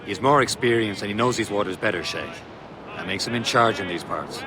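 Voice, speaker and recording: A man's voice: fairly low pitch, expressive, a little fast, close to an everyday voice. A man playing a level-headed, loyal assassin and first mate in a video game, earnest and reasoning in manner. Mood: admonishing. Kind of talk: dramatic game voice acting. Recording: wind and sea noise behind.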